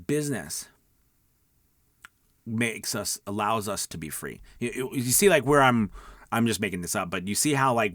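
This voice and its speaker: Male coach, lowish voice, emphatic and conversational, instructing viewers in a dry, close-sounding space. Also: fairly bright tone, no echo. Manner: emphatic, conversational, repeats check-in words like okay and all right. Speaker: voice-over coach, video host. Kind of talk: informal instructional talk to viewers.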